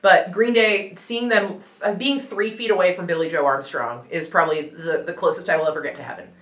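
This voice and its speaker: A woman's voice: on the low side, a little fast, a bit bright, roomy sound. A young woman whose first language is Ukrainian, a radio news presenter chatting and laughing her way through a hot wing challenge. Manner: giggly, rambling, reacting to spicy food. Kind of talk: casual chat during a hot wing challenge.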